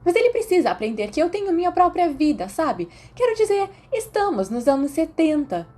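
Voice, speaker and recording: A woman plays a fiery, self-assured teenage schoolgirl in an audiobook. The voice sits high and comes out cheeky and emphatic, with a dramatic streak. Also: very animated, a little fast, warm tone. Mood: chatty, emphatic. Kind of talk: audiobook character acting.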